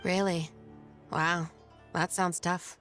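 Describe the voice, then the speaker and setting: A woman's voice: lowish voice, level tone, slow, over music. An actress playing an earnest, curious young woman in a video game, polite and inquisitive but persistent. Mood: intrigued, probing.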